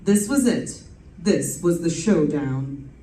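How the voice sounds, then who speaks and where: A woman playing a wisecracking, brisk lady private detective in an old-time radio drama, cool under fire. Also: sits low, lively intonation, warm tone, a little hiss or hum. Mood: narrating, suspenseful. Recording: roomy stage recording, distant mic.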